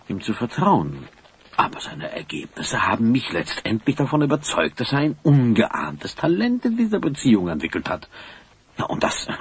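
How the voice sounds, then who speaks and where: A man's voice: mid-range voice, husky, exaggerated voice. An actor playing an awkward, meekly persistent village newsman in a children's audio drama, talking formally and hesitantly. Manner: hesitant, stammering, long-winded, formal.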